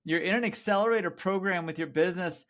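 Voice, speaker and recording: A man hosting a podcast interview, enthusiastic and quick to affirm his guest. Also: sits high, fairly bright tone. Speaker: podcast host.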